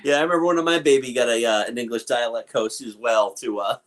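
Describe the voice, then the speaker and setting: A man's voice: medium pitch, quick pace, a bit bright. A man co-hosting a podcast, chatting casually about a film and riffing with plenty of fillers and opinions. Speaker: podcast co-host. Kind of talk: casual film review chat.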